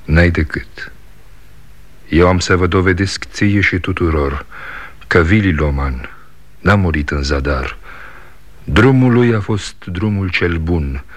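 An actor playing a stubborn, defiant younger son in a 1960s radio drama, speaking insistently. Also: male voice, low-pitched, lively intonation, long pauses.

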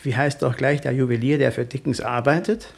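A scheming gang boss with a gravelly, creaking mid-range voice. He gives orders coolly and deliberately, a ruthless villain from a comic radio crime serial. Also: mid-range voice, steady tone. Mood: coolly menacing. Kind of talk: satirical radio crime comedy acting.

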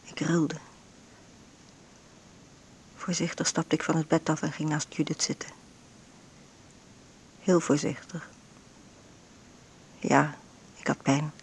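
An actress playing a frail, ailing bedridden mother in an intimate radio drama monologue, breathy and often near a whisper, with halting short phrases. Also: sits low, level tone, slight background noise, low-quality recording. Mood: fragile, pained. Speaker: actress narrating in a radio play.

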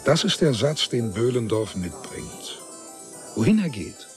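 A man narrating a literary German radio drama in measured, fragmentary phrases. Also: medium pitch, very animated, faint music behind.

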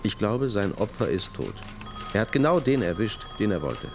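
An actor playing a level-headed police detective in a radio crime drama, speaking in a measured, terse, firm way. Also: male voice, fairly low pitch, sing-song, gravelly, a little mellow, faint music behind. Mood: measured, thoughtful. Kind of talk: naturalistic German radio crime drama acting.

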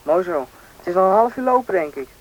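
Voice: medium pitch, animated, fast, muffled recording, natural voice. A performer playing a bossy, knowing country boy, confident and impatient, in a radio drama. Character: bossy, knowing boy who grew up in the taiga. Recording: noisy old radio play recording.

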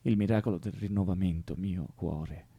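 Give the radio drama an anguished, erratic young man. His voice is creaky and dark, recorded very dry. He pleads and teases, repeats himself and slips toward whispers. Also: deep voice, normal speed, no room sound. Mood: tender, reciting. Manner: volatile, repetitive, drifting into whispers. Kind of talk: naturalistic radio drama acting.

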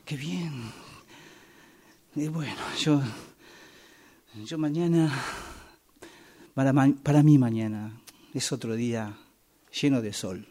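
A man acting a pensive, weary waiting passenger in a radio drama, halting and repeating his phrases. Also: mid-range voice, mellow. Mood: hopeful, wide-eyed. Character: brooding, weary man waiting years for a train.

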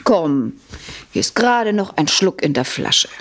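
An actress in a German radio play as a calculating wife who speaks softly and soothingly while coldly poisoning her husband, her voice husky and breathy. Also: fairly low pitch, sing-song, measured pace. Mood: urging, businesslike. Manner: soft, coaxing, controlled.

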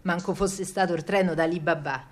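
Actress playing a wry, earthy young Roman wife. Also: female voice, on the low side, steady tone, fairly quick, lightly acted. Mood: urgent, vivid. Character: stubborn young Roman wife telling her wartime memories. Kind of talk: theatrical monologue in dialect.

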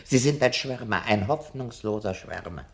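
A man's voice: medium pitch, expressive, moderate pace, natural voice. An actor playing a disillusioned elderly bookish man in a German radio drama, speaking in a theatrical, ruminative and sardonic way. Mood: probing, earnest. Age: older.

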